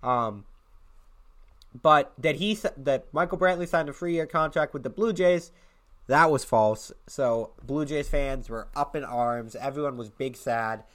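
A man's voice: mid-range voice, measured pace, a bit bright. A young man co-hosting a casual sports podcast, sounding congested and sick, joking and teasing his co-host.

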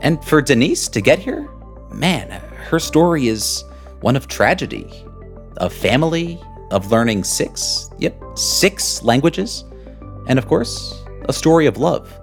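A man hosting a podcast, his voice rising and falling in a lively way as he builds up excitement around his guest. Faint music plays behind him. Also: mid-range voice, a little slow, gravelly. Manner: enthusiastic, dramatic build-up. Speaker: podcast host. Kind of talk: interview hosting.

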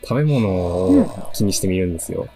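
A man's voice: sits low, animated, normal speed, dark tone, husky. A man in his early twenties giving a casual but polite presentation on the radio. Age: early 20s. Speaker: radio staff member giving a film pitch. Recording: music playing behind the voice.

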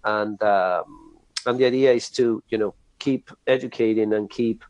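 A middle-aged man from Argentina with an Argentine Spanish accent, who speaks English as a second language and gives steady, earnest interview answers with frequent pauses. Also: lowish voice, no room sound, rough recording. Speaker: guest, ad agency president.

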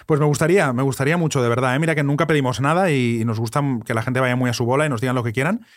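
Guy cohosting a podcast, talks fast, chatty, mid voice, studio mic. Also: mid-range voice, dry recording. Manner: fast, animated storytelling. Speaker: podcast co-host. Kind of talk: casual chat. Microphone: studio microphone.

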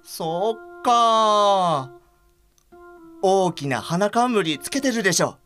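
An actress playing a blind art lover, casual, frank and gently exasperated, in an audio drama. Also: female voice, mid-range voice, lively intonation, extremely slow, soft background music. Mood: interested. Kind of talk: Japanese audio drama acting.

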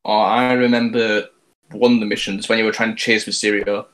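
A man's voice: lowish voice, level tone, fairly quick, fairly bright tone, rough recording. Man prompting his co-hosts. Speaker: podcast co-host. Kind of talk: casual chat.

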